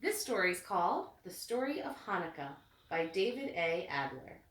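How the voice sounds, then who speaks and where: A woman reading a storybook aloud with expressive, deliberate phrasing meant for children. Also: on the low side. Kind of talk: read-aloud storybook narration. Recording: echoey room, distant mic.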